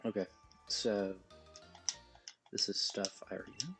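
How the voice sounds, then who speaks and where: A man with a hoarse, worn-out voice, rambling goofily through a casual live stream while he plays a game. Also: fairly low pitch, steady tone, a little slow, over music. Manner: rambling, goofy, jokey asides. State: hoarse, losing his voice.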